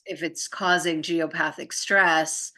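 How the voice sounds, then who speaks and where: Low-pitched woman with a bright voice. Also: steady tone, slow pace. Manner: informal, earnest, rambling with frequent tag questions. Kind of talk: casual conversational explanation.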